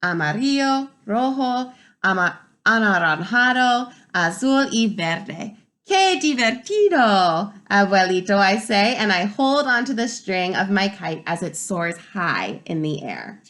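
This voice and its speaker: A woman reading a picture book aloud to young children like a teacher, expressive and pausing to ask wondering questions. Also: medium pitch. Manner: expressive, pauses to pose questions to young listeners.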